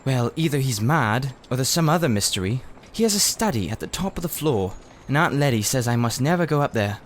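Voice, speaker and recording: A man's voice: mid-range voice, animated, a little hiss or hum, close to an everyday voice. A man voicing a miserable, blunt young boy in a storybook, blurting out his words in a rush. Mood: secretive, wary. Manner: breathless, rushed, blurting complaints.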